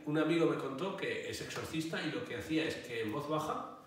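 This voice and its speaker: A man in his forties with an accent from Spain speaks as an interviewed priest. His delivery is measured and explanatory, at a middling pitch. The sound is very reverberant and a bit distant, with faint noise. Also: lively intonation. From Barcelona, Spain. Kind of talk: interview answers, explaining faith. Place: indoor hall with wooden bench. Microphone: small mic held out at arm's length by interviewer.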